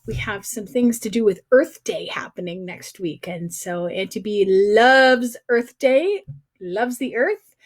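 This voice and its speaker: A woman's voice: mid-range voice, animated, takes it slowly. A woman storyteller reading a picture book aloud to children in a playful, exclaiming manner, with dramatic emphasis and asides to young viewers. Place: recorded on a livestream.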